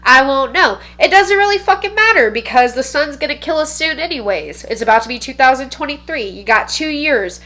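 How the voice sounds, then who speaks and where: Congested, bright-voiced woman vlogger. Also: sits high, lively intonation, brisk, hardly any pauses. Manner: blunt, profane and emphatic; slangy, says "bro" and "dude". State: congested, stuffy nose. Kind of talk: casual monologue to camera.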